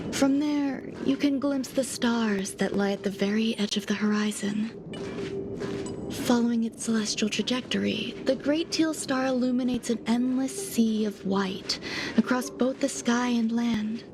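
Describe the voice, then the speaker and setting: A woman playing a poised, enigmatic genius scientist in a video game, speaking formally in a measured, breathy way over music. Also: on the high side, noisy background. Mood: calm, wistful. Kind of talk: scripted game dialogue. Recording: music under the voice.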